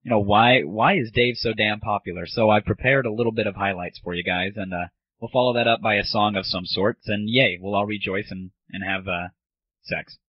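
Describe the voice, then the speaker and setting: A man's voice: on the low side, fairly even intonation, brisk, husky, no room sound. Muffled lo-fi man ranting casually. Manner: sarcastic, rambling, profane. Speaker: host of a comedy audio show. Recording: muffled lo-fi 1990s internet audio. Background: music.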